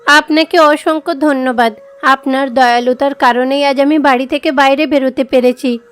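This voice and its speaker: Woman voicing a high-pitched, earnest village girl. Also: steady tone, normal speed, natural voice. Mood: joyful, grateful. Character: sheltered, devout young village daughter, meek and pleading. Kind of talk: animated moral story dialogue.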